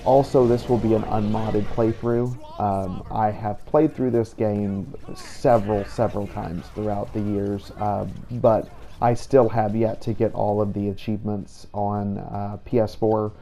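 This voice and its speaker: A man's voice: lowish voice, level tone, unhurried, few pauses, warm tone. A man hosting a gaming channel, giving a casual, explanatory spoken introduction to a playthrough with a somewhat hesitant delivery. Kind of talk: casual gameplay introduction commentary. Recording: noticeable background noise.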